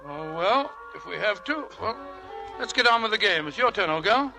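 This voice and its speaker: An actor playing an amiable, childlike upper-class English gentleman who stammers and blusters, in a 1940s radio drama. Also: high voice, expressive, moderate pace, soft background music, heavily acted, low-quality recording. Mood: puzzled, genial. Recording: vintage radio broadcast with rough audio.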